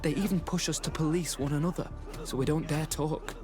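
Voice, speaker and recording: A man's voice: on the high side, fairly even intonation, brisk, natural voice. Guy acting scared young soldier in a game, grave and guilty, music playing behind. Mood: bitter. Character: young male guard recruit, bitter, frightened, guilt-ridden. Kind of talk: dramatic video game dialogue.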